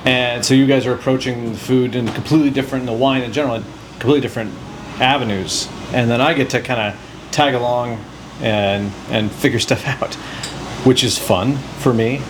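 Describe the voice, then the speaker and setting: A man's voice: medium pitch, a little fast. A male podcast host with a playful, joking manner, chatting casually with his co-hosts. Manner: jokey, playful banter. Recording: steady background noise.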